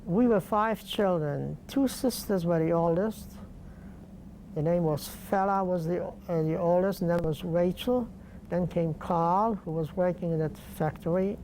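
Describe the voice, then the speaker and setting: An older man from Poland, speaking slowly and deliberately with pauses in an oral history interview. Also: high-pitched, a little mellow, slight background noise, low-quality recording. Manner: slow, deliberate, halting with pauses.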